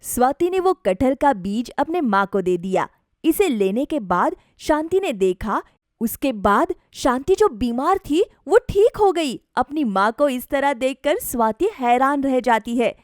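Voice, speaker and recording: A woman narrator reading a scripted animated moral story. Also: high voice, a little slow, dry recording, studio quality.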